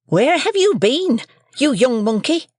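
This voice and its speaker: A man doing a shrill scolding sister. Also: sing-song, a little slow, no room sound, clean studio sound, heavily acted. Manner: sharp, scolding, loud and nagging. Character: shrill scolding sister, harsh tempered woman.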